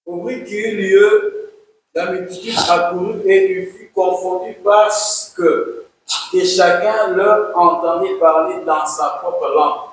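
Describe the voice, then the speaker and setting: A man reading scripture aloud in church, a little halting. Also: sits high, fairly even intonation. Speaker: scripture reader. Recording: very echoey room, voice off-mic.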